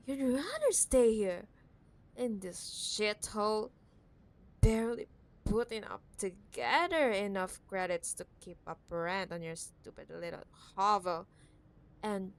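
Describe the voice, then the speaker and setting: A woman playing a possessive, condescending wealthy CEO ex-girlfriend in an intimate cyberpunk audio roleplay, teasing and scornful with drawn-out emphasis. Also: mid-range voice. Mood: condescending, exasperated. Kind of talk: ASMR audio roleplay, intimate dramatic monologue.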